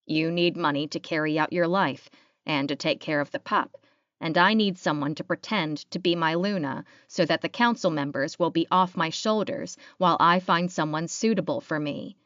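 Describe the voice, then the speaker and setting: Woman reading as a measured, businesslike werewolf alpha. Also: low-pitched, a bit bright, dry recording, muffled recording. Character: businesslike male werewolf alpha proposing deals.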